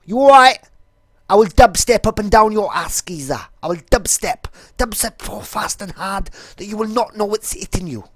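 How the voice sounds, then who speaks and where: A man's voice: high voice, animated, moderate pace, fairly bright tone, dry recording, clean studio sound, pitched above the performer's own voice, full character voice. A Welsh-accented man putting on a brash, cocky London street geezer, with an overly pronounced accent. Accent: exaggerated London.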